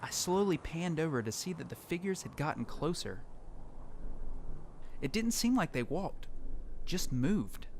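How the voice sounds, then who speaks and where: A man's voice: on the high side, very animated, unhurried, long pauses, slight background noise. A man playing a lone apocalypse survivor who tells his story in earnest, dramatic first-person narration for an audio drama. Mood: uneasy, panicked. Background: music.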